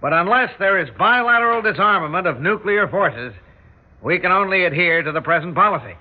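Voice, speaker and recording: A grave military general in an old radio play. He speaks formally and deliberately in a slightly high voice, through a thin, narrow-band recording. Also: expressive. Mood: grave, firm. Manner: formal, measured, halting. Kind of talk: mid-century radio drama acting.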